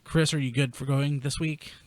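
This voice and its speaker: A man's voice: mid-range voice, steady tone, normal speed. A man hosting a casual panel chat, informal and blunt in the way he talks. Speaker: podcast host.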